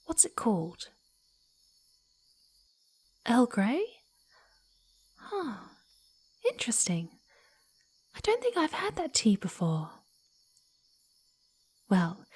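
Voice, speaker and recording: A woman voicing a playful, sweet, eager young female fox spirit in a soft ASMR roleplay, cooing and giggly, often slipping into breathy whispers. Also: fairly high pitch, exaggerated voice. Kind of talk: ASMR roleplay, speaking softly to the listener.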